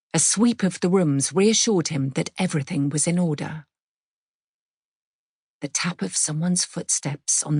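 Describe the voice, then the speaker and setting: A woman's voice: low voice, darkish tone, breathy edge, no room sound, studio quality. A woman with a British accent giving a scripted audiobook narration of suspense fiction.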